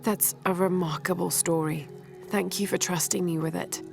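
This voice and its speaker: A young female game adventurer with a slightly low voice. She is earnest and sympathetic, asking things in a gentle, measured way. Soft music sits behind her. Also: steady tone, creaky. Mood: sympathetic, grateful. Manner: gentle, polite, measured questioning. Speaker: game protagonist. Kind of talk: video game cutscene dialogue. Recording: long reverb, music behind.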